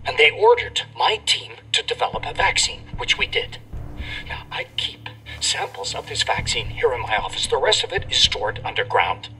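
An actor playing an older, embittered scientist recording a dramatic confession. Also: male voice, mid-range voice, very animated, normal speed, pushed and bright, recorded from a distance, faint music behind, poor audio. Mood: grave.

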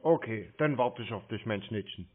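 A man's voice: fairly low pitch, moderate pace, husky. A native German man on a prank phone call, rambling and crude as he plays dim. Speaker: prank caller baiting phone scammers. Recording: narrow, tinny phone line.

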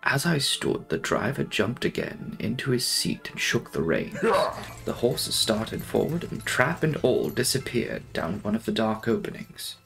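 A young man narrating a gothic novel as a dramatic reading, in a theatrical, deliberate and formal manner. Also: lowish voice, very animated, gravelly, a bit bright, soft background music, low-quality recording. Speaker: audiobook narrator.

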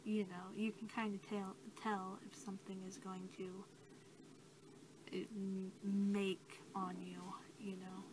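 A young woman doing soft-spoken ASMR roleplay as a scientist, talking in a casual, rambling, hesitant way. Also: mid-range voice, monotone, slow. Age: young adult. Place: small cluttered room indoors. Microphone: camera mic at about arm's length. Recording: clearly noisy amateur camera audio.

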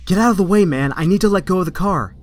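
An actor playing a young, wisecracking but stressed superhero in a comic dub, with a sardonic, conversational delivery. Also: male voice, high-pitched, quick pace, close to an everyday voice. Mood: exasperated. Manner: sardonic, conversational inner monologue. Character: young male superhero, wisecracking yet stressed and self-doubting. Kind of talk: dramatic comic dub acting.

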